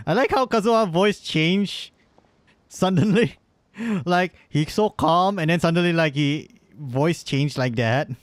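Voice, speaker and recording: A man giving casual, halting gameplay commentary with wry asides, close to the mic. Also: a little high, no room sound. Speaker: game livestreamer.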